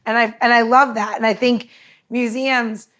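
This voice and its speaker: A woman with a voice a little higher than average co-hosts a podcast. She chats informally and with enthusiasm, letting her sentences wander as she goes. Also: flat delivery, normal speed, a bit bright. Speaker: podcast co-host. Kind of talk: casual chat.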